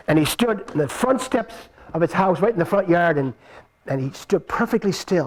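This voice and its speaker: A man's voice: on the high side, a little hiss or hum, poor audio. Playful storyteller man. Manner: lively, playful, addresses the audience directly. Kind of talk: live folk tale storytelling for an audience. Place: outdoor marketplace performance.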